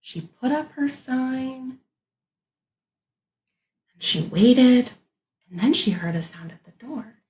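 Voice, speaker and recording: A woman teacher reading a picture book aloud to young children in an expressive, deliberate way, pausing to muse and think out loud. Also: high voice, reverberant, poor audio.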